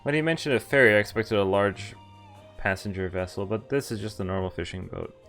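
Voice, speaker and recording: Deep-voiced man reading a visual novel aloud. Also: fairly quick, a bit bright. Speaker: game streamer. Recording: music behind the voice. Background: game music.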